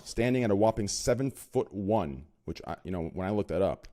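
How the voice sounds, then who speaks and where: A man's voice: fairly low pitch, expressive, brisk, mellow. A man hosting a podcast, introducing guests with rambling, self-conscious jokes and lots of fillers. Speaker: podcast host. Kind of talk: casual chat, joking guest introductions.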